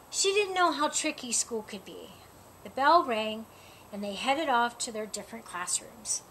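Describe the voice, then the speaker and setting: A woman reading a picture book aloud in an expressive storytelling style. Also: high voice, slight background noise, poor audio. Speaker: storybook reader. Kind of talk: expressive children's read-aloud.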